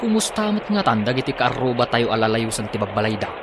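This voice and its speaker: An actor with an Ilocano accent playing an easygoing village husband in a radio drama. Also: male voice, mid-range voice, sing-song, fast talker, noisy background, natural voice. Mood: easygoing, chatty.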